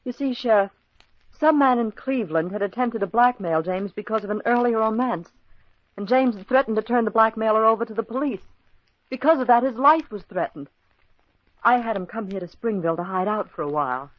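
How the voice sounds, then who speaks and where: Woman doing a grieving fiancée, higher voice, pleading, muffled old radio sound. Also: a little fast, no echo, natural voice. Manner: earnest, pleading. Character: grieving young woman, a murdered man's fiancée. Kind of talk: 1940s radio acting.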